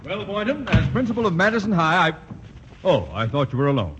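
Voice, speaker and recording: An actor playing a pompous, blustering male high school principal in a 1940s radio sitcom. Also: very animated, brisk, muffled recording, noisy background.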